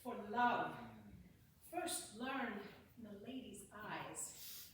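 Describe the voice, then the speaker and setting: A witty, passionate young nobleman of the Shakespearean stage, played by a woman argues for love in high, rhetorical verse. The delivery is unhurried, with dramatic pauses. It is heard from a distance in a strongly reverberant room. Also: lively intonation, a bit bright, full character voice. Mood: persuasive, musing. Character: witty, passionate young lord, eloquent lovesick male scholar. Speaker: amateur stage actor in a Shakespeare reading. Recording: distant, echoey hall with faint noise.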